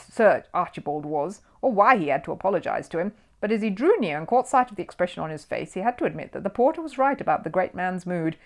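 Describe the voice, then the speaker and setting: A woman reading a children's story aloud as scripted, expressive narration. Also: lowish voice. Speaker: storybook reader.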